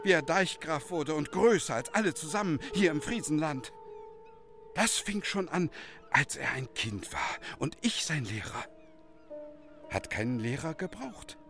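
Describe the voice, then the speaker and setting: An actor in a German radio play voicing a proud, wistful village schoolmaster who would be a poet, declamatory and scornful of gossip. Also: male voice, a little high, expressive, measured pace, vocal fry, over music. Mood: indignant. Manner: declamatory, brooding, theatrical.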